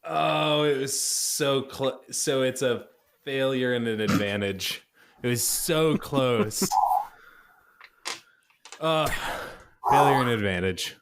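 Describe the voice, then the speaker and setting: A man's voice: mid-range voice, takes it slowly, creaky, long pauses, noisy background. A man chatting casually as a player in a tabletop roleplaying game.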